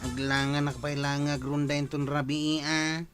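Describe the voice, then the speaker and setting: A man's voice: on the high side, level tone, moderate pace, fairly bright tone, soft background music, close to an everyday voice. An actor playing a sly, lovesick village man with a Filipino Ilocano accent, pushy and pleading, drawling and hesitating in an audio drama.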